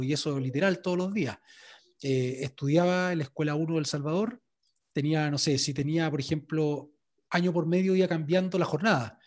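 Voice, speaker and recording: A sports journalist being interviewed, telling his story in a relaxed way, with many fillers and restarts. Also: a little high. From Chile. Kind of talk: interview answers.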